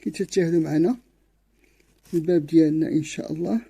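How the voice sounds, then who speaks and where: A man's voice: a little high, gravelly, dark tone. A man with a Moroccan Arabic accent, giving casual how-to commentary to viewers in a bird-keeping video. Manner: quick, repetitive, addresses viewers as brothers.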